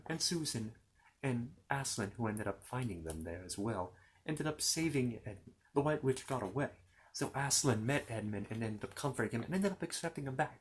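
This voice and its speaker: A man's voice: medium pitch, rough recording. A man telling a children's fantasy story in an informal ASMR style, rambling along with playful, courteous asides to the listener.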